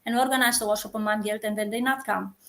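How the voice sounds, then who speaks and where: A woman's voice: medium pitch, fairly even intonation, rapid-fire, fairly bright tone. A woman from Romania with a Romanian accent, a community founder chatting earnestly as a guest and often restarting as she hunts for words. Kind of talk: casual interview chat.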